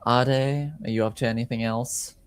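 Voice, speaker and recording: A man's voice: medium pitch, steady tone, moderate pace, smooth. A man narrating casually as game master of a tabletop roleplaying game.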